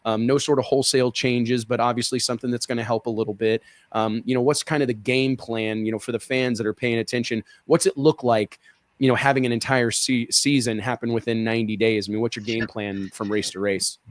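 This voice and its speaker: A man's voice: mid-range voice, little pitch movement, brisk, dry recording. A man hosting a racing podcast, asking questions in a friendly chatty way and often saying "man" and "dude". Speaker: podcast host. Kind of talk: casual interview.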